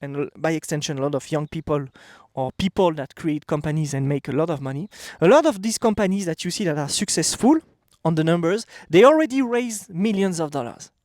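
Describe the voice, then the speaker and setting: A man in his thirties with a French accent gives a casual motivational talk straight to camera. His voice sits high for a man and is recorded close and dry. He talks bluntly and emphatically. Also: lively intonation, fairly quick, hardly any pauses, darkish tone, no echo. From France. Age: 30s. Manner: blunt, emphatic, repeats "okay?". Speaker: vlogger. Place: outdoors.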